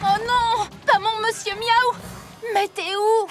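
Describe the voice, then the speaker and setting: An actor voicing an earnest, excitable six-year-old boy superhero in a children's cartoon. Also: extremely high pitch, slow pace, music in the background. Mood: alarmed. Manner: earnest, excitable, sometimes sheepish. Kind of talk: animated children's cartoon dub.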